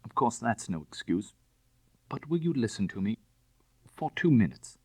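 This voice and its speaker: Male narrator as desperate young gentleman, storybook drama, formal, self-reproaching, animated pitch, close dry sound. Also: normal speed, slightly rough, no echo, poor audio. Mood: agitated. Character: ruined young gentleman, desperate, bitter and proud.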